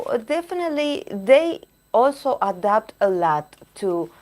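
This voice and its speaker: A woman reading tarot cards on a live stream, chatting with viewers and pausing to search for words, her voice going hoarse. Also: mid-range voice. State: losing her voice. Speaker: tarot reader.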